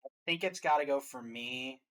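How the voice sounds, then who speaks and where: A casual reaction-video host with a medium-pitched voice. The talk is conversational and deliberating, often reconsidering out loud. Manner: deliberates aloud, changes mind mid-thought.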